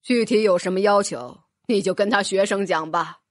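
A woman voicing a crafty old male headmaster who speaks slowly in a lowered voice. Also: no echo, studio quality, natural voice. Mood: calm, sly.

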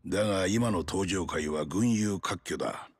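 An actor playing a blunt, weary, middle-aged male detective in a video game drama, with a husky voice and rough speech. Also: low voice, a little slow, slight background noise. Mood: grim, explaining.

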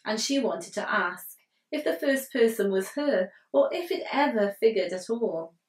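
A young woman poet reading her poetry aloud with measured, deliberate phrasing, recorded indoors in a living room. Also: mid-range voice, animated. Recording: roomy living-room echo, distant mic.

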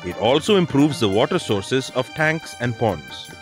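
A man's voice: mid-range voice, big pitch swings, unhurried. A man reading scripted instructional narration for a documentary. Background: background music.

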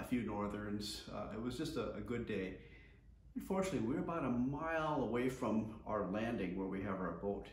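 A man's voice: fairly low pitch, darkish tone, a little hiss or hum. A man in his sixties, plain-spoken and folksy, explaining his homemade kayak fishing gear in a casual how-to video at home, with lots of "uh" fillers. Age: 60s. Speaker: DIY kayak angler. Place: home room with wood floor. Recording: echoey room.

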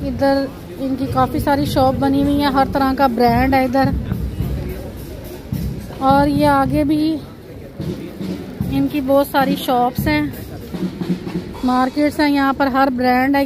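A woman from Pakistan, narrating a casual walking tour as a vlogger and pointing things out as she goes, recorded on a handheld phone. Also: high voice. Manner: pointing things out, repeating "look at this". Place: chocolate shop and busy shopping street.

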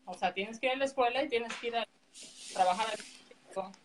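A woman with a Mexican accent explaining things casually and in a measured way as a guest on a video call. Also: a little high, slight background noise, poor audio.

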